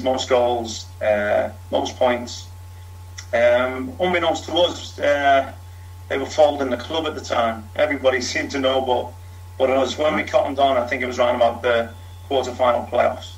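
A man's voice: mid-range voice, steady tone, normal speed, gravelly, low-quality recording. A middle-aged man with a Liverpool accent, a former footballer telling his story frankly in a long interview answer. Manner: frank, talks in long runs with fillers. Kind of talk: candid storytelling.

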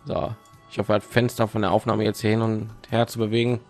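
A man's voice: lowish voice, flat delivery, quick pace, darkish tone, faint music behind. A man giving casual let's play commentary, thinking aloud and rambling, with phlegm in his throat.

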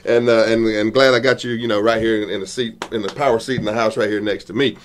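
A man hosting a radio wrestling show from a studio, talking in a folksy, halting way with lots of fillers and repeats. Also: fairly low pitch, steady tone, slight background noise. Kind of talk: casual interview hosting.

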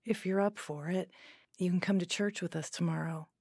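A friendly churchgoing woman in a radio drama. Her voice is husky and creaky, captured in a dry studio. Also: on the low side, fairly even intonation, normal speed, a little mellow, no echo. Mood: gentle.

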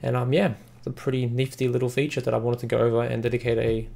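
A man hosting a casual software tutorial, explaining in a rambling, repetitive way. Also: medium pitch, completely flat delivery, fast, gravelly. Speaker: software reviewer, tutorial host. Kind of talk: casual screen-recording tutorial narration.

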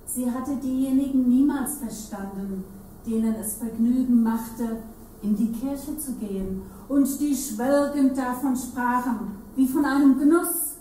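A woman's voice: high-pitched, unhurried, warm tone. A woman giving a staged literary reading, recorded from afar in a very echoey, noisy room. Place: salon with seated audience. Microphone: far camera. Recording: very echoey hall, distant mic, noisy.

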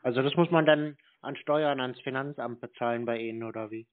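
A man's voice: mid-range voice, fairly quick. A man chatting casually on a call, laconic, playing along with naive questions. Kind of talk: casual phone chat. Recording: tinny narrow phone line.

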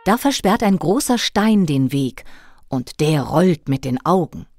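A woman reading a scripted children's story as narrator, with expressive storytelling delivery. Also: sits low, measured pace.

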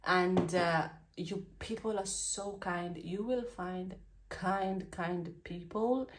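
A woman's voice: lowish voice, steady tone, takes it slowly, background noise. A young woman in her twenties with a Kenyan accent, chatting candidly and animatedly in a storytime vlog recorded in a small bedroom. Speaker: vlogger.

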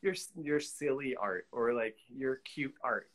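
Casting: man, 40s, mid-pitched voice, casual artist guest on a video call, conversational asides. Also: measured pace, a bit bright. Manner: conversational, frequent "you know" asides. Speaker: interview guest, collage artist. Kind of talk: casual interview chat. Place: small indoor room with pinned pictures, video call.

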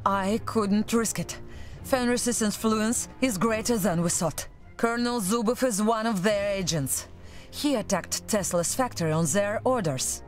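A resolute female officer, acted by a woman in a video game cutscene. She speaks with earnest, commanding urgency at a middling pitch, with music underneath. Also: unhurried, natural voice. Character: loyal, resolute female military officer and former security chief.

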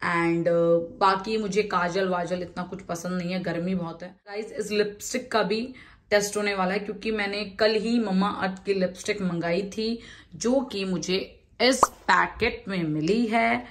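A woman's voice: medium pitch, steady tone, unhurried, fairly bright tone. A woman in her thirties chatting casually to her phone camera as a vlogger, first at home and then in a street market, mixing English words into her talk. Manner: chatty, mixes English into Hindi, says "yaar" often. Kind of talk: casual vlog chat. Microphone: phone held in hand.

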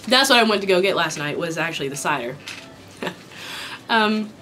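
A young woman from Kentucky chatting casually while eating, her voice raspy from a sore throat, rambling with many ums. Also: on the low side, animated, moderate pace, sharp tone, slight background noise. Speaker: vlogger. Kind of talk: casual mukbang chat. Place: small indoor room with dark curtain backdrop.

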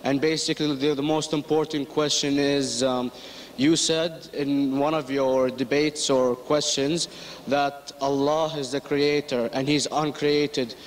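A man from Iraq asks his question into a handheld mic in a large lecture hall. He speaks in a flat, unvaried voice, hesitant and rambling with many pauses. Faint noise sits behind him. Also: mid-range voice. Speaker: audience member asking a question.

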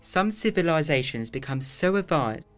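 A man's voice: a little high, animated, moderate pace, faint music behind, lightly acted. A man playing a serious, hesitant time traveller in a full-cast audio drama. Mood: resigned.

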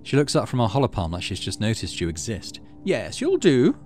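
A man hosting a gaming video, mixing casual commentary with expressive reading aloud of story text. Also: on the low side, quick pace, faint music behind.

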